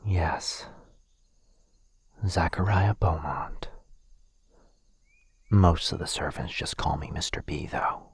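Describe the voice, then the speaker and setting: Man acting a very deep, husky, gentle vampire gentleman. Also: takes it slowly, long pauses, no echo, exaggerated voice. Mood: soft, soothing. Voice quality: breathy, husky, whispery. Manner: soothing, apologetic. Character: gentle, protective vampire gentleman, soft-spoken rescuer. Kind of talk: boyfriend ASMR roleplay. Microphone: close mic, dry.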